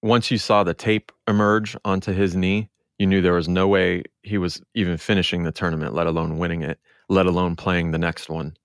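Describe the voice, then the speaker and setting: A man's voice: low voice, little pitch movement, a little fast, hardly any pauses, dry recording, studio quality. A man hosting a podcast, talking through sports in a casual discussion style. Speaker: podcast host.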